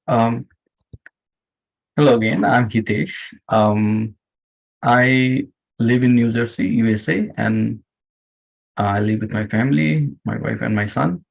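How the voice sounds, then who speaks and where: A man from Nepal in his thirties or forties with a Nepali accent, speaking informally and haltingly as host of an online meditation session. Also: medium pitch, steady tone, creaky. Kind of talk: informal personal talk to online group. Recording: muffled, dull lo-fi online audio.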